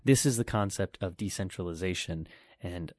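A podcast host, a man with a fairly low voice, chatting casually with his guest. He is enthusiastic and often turns to address the listeners directly. The recording is dry and close. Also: moderate pace, darkish tone, no echo. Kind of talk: casual interview chat.

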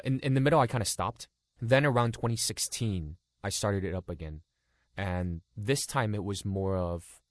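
A man with a very deep, low voice tells his story in an easygoing interview, dropping "like" into nearly every sentence. He is in his thirties, from Los Angeles, with Cantonese as his first language. The recording is dry and close. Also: dry recording. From Los Angeles, California. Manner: relaxed, many "like" fillers. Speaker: guest YouTuber.

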